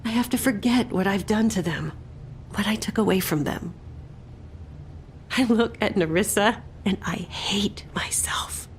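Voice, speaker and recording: An actress playing an anguished, guilt-ridden woman in a video game, bitter and accusing, with a husky voice. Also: medium pitch, measured pace, slight background noise, lightly acted. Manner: emotional, accusing. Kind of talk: dramatic video game acting.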